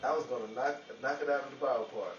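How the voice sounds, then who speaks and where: Muffled, echoey actor as cocky hapless husband. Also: male voice, medium pitch, fast, recorded from a distance, crowd noise, close to an everyday voice. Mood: exasperated, joking. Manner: wisecracking, exasperated bickering. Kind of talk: old-time radio comedy acting. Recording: muffled, noisy, echoey, off-mic amateur radio play.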